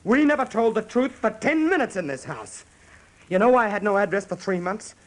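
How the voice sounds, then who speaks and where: Anguished American grown son, high voice, blunt, emphatic, pleading, radio drama. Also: male voice, animated, brisk. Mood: angry, confronting. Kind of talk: stage-style radio drama acting.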